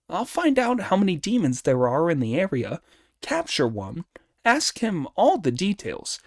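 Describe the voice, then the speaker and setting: A man performs an excitable, boastful monkey hero from a storybook. The voice sits high and leaps about in pitch. It is cocky and exclamatory, recorded dry and close. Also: no room sound, heavily acted. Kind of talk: storybook character dialogue.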